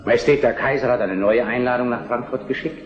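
An actor playing a shrewd, controlled Prussian prime minister, deferential and measured, in a German historical radio drama. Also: male voice, medium pitch, muffled recording, over music, natural voice. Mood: formal, urgent. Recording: radio play in echoing hall.

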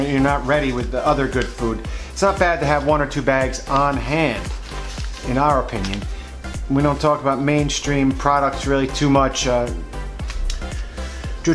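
A man hosts a vlog, talking casually and hesitantly about supermarket flyers, with many fillers. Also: on the high side. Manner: rambling, hesitant, full of uh fillers. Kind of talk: casual unscripted commentary. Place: indoors at a table, voice-over to overhead shots. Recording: music playing under the voice. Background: background music.

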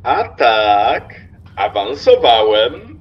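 An actor playing a pompous, jovially mocking railway stationmaster in an audio drama, effusive and theatrical, with drawn-out exclamations. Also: male voice, medium pitch, very animated, lo-fi sound. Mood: pompous pride.

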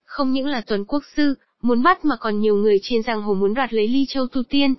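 A woman delivers scripted promotional narration in a fairly high voice. The sound is dry, with little room, and slightly muffled on top. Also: fairly quick, dry recording. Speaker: channel narrator introducing an animated series.

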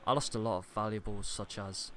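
A man calling customer service with a complaint, speaking in a deadpan, deliberate and stiffly formal manner. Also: fairly low pitch. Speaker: prank caller posing as customer. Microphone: computer internet call. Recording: internet call with clear background noise.

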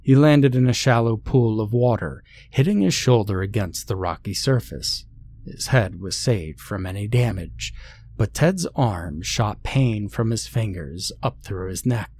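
A man's voice: lowish voice, animated, unhurried, darkish tone. A man narrating a scary story in a scripted, dramatic storytelling style.

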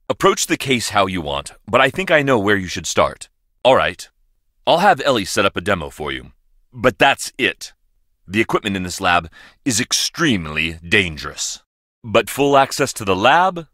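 A brusque lab director from a mystery game, barking orders in a very low but animated voice. His tone is bright and forceful. The recording is dry and close. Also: no echo, exaggerated voice. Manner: brusque, bossy. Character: stern male lab director, curt and impatient. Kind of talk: video game voice acting.

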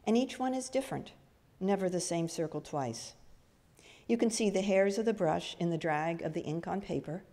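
An older woman reciting a reading at a lectern in a church hall, with careful, deliberate phrasing. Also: lowish voice, mellow. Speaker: reader at a church service. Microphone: gooseneck lectern microphone. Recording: long hall reverb.

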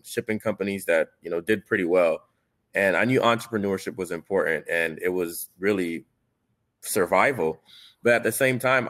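A man's voice: low voice, a bit bright. A man from Haiti telling his story earnestly as a panelist on a video call, with many fillers and restarts.

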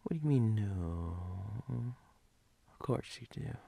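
A man playing a sleepy, teasing, doting boyfriend in an ASMR role-play, husky and breathy, often dropping to a whisper in an intimate, halting way. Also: on the low side, expressive, mellow, dry recording, heavily acted.

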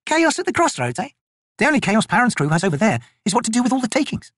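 A man voicing a teasing female soldier in a high, light, mocking voice. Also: sing-song, quick pace, fairly bright tone, no echo, clean studio sound, full character voice.

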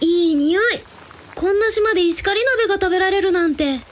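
A woman playing a cheerful, eager young woman in a radio drama, bubbly and animated. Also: extremely high pitch, a little fast.

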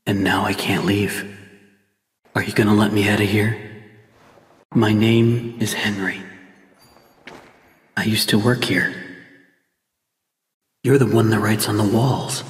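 An actor playing a weary, wary American man exploring a haunted cartoon studio in a video game, muttering his scripted lines in an understated way. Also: on the low side. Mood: weary, subdued. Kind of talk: scripted game character dialogue. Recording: echoey game audio with long reverb.

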